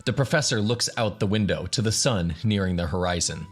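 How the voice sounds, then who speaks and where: A man's voice: sits low, animated, brisk, soft background music. A man narrating a scripted fantasy radio drama. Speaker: narrator, game master.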